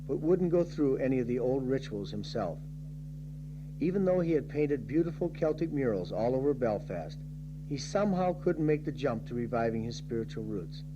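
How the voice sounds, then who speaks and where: A man from Ireland narrating a documentary in an earnest, measured first-person voice, telling of his own journey. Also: medium pitch, background noise. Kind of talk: reflective scripted narration.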